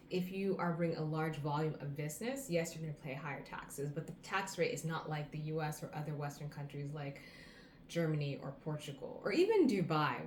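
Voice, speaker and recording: An American woman in her thirties, talking casually to camera and giving earnest advice, listing things off one by one. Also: deep voice. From United States. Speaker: vlogger. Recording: roomy echo, faint background hum.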